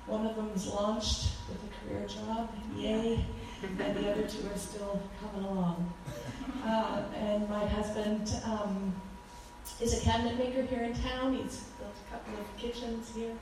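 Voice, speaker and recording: A woman in her forties with a loud voice, giving a fervent talk as a guest speaker at a church, with frequent ums. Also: medium pitch, little pitch movement, a little slow, noisy background. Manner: earnest, fervent, with many ums. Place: church hall. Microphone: handheld microphone. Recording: echoey hall from far off.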